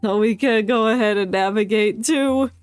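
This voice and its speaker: A woman's voice: mid-range voice, moderate pace, clean tone. A woman streamer giving casual, joking game commentary, playfully talking back at what she is playing. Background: game music.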